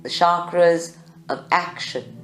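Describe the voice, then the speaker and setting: A woman leads a guided meditation in short, measured phrases with long pauses. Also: on the low side. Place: live group session indoors at a creativity centre. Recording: echoey room, music behind. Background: meditation music.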